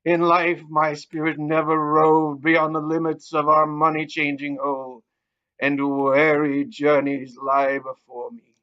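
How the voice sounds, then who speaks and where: Audio drama role: male actor as doomed chained spirit, very slow, solemn, mournful, declamatory, on a muffled recording. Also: a little high, flat delivery, pushed and bright. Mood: weary, solemn. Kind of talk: audio play acting of a classic ghost story.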